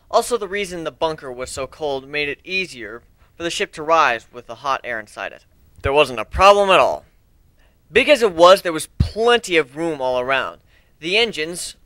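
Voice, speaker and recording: A man's voice: on the high side, sharp tone, smooth, no room sound. A man giving an amateur dramatic reading of a first-person adventure story.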